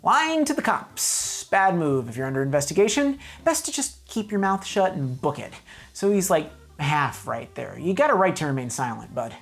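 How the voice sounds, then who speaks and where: Low, animated woman, wry reviewer. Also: moderate pace, creaky. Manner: wry, mock-serious, emphatic. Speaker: video reviewer, host. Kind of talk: scripted comedic review narration.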